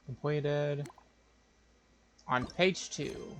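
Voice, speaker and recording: A streamer thinking aloud in a casual, tentative way while playing a game. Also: male voice, a little high, slight background noise. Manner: muttering to himself, unhurried and tentative. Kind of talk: casual gameplay commentary.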